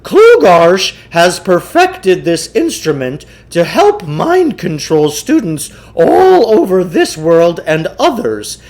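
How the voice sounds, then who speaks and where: A man reading a children's novel, voicing a pompous, theatrical, eccentric salesman who lectures with deliberate self-importance. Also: sits high, huge pitch swings, a little mellow, full character voice. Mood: grand lecturing. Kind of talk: children's novel read aloud in character.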